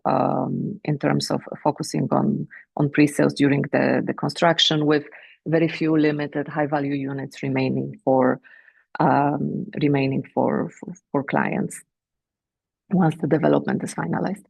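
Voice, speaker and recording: A woman with a Romanian accent presenting company sales figures on an earnings call, measured and pausing often with fillers. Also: low-pitched, fairly even intonation, gravelly, a little mellow, husky, no echo. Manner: measured, with frequent filler pauses. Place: remote teleconference.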